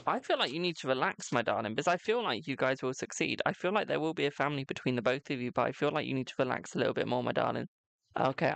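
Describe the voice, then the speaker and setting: A young man with a naturally high voice hosts a live tarot reading, chatty and cheeky, calling each viewer "my darling". His tone carries a gravelly fry at the ends of phrases. The sound is dry and close, with no room echo. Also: fairly bright tone. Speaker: tarot reader on a livestream.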